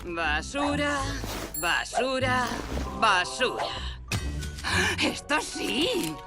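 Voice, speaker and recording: A woman's voice: high-pitched, sing-song, drawn-out words, loud music behind the voice, exaggerated voice. A woman voicing a sly, greedy middle-aged witch peddler in a cartoon, sardonic and theatrical, with showy sales patter. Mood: greedy, rummaging.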